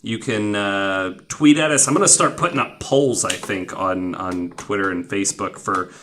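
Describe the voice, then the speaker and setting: A man with an American accent hosting a casual, joking podcast with a deadpan, self-deprecating manner. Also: lowish voice, moderate pace, slight background noise. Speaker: podcast host.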